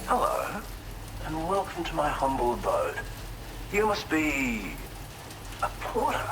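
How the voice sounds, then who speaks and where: A man's voice: a little high, wildly swooping pitch, takes it slowly, noisy background, heavily acted. An actor playing an eccentric, courteous male researcher and healer in a video game, speaking in a theatrical, formal, effusive way. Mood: delighted, welcoming. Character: eccentric, courteous male tar therapist, enthusiastic self-taught researcher. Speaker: non-player character in a video game. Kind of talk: expressive video game character dialogue.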